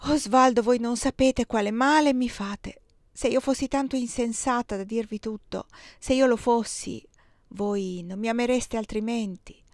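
A woman's voice: medium pitch, normal speed, darkish tone, no room sound, lightly acted. Woman reading tender secretive heroine, tremulous and imploring, dry voice. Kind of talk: audiobook dialogue reading.

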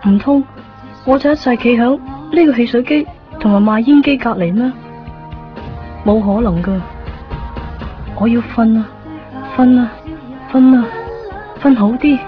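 A lovesick young woman confides her private thoughts , voiced by an actress in this dull, lo-fi radio play. her voice sits a little high, and clear music plays under it. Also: unhurried, pauses often, lightly acted. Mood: wistful. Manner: intimate, wistful inner monologue. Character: young woman secretly watching the man she loves. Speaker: lead character and narrator. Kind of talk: 1990s radio drama acting.

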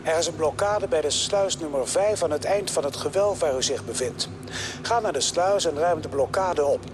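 An actor playing an impassive recycling dispatcher who gives formal, procedural orders in a radio drama. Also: male voice, on the high side, normal speed, noisy background, lightly acted. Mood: detached.

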